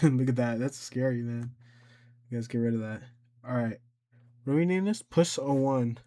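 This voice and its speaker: A man's voice: medium pitch, moderate pace, mellow. A man hosting an informal, improvised step-by-step screen tutorial, thinking aloud as he goes. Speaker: tutorial host.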